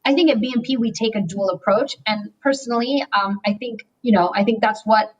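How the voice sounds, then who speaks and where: A woman banker answering webinar interview questions in a measured, explanatory way with frequent pauses and fillers. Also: fairly high pitch, muffled recording. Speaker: banker on sustainability.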